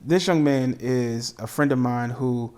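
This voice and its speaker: A man's voice: mid-range voice, a little slow, darkish tone, clear, resonant tone. A young man hosting a podcast, opening with showman greetings and joking with his guest. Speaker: podcast host. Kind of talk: casual interview hosting.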